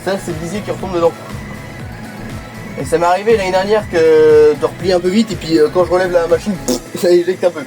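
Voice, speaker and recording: A man's voice: high voice, steady tone, a little fast, over music. A young man with a cold and a stuffy nose, chatting casually and hesitantly as he films his farm work from a tractor. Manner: chatty, lots of hesitations and repeats. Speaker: farm vlogger. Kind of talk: casual running commentary while working. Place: tractor cab and farmyard outdoors.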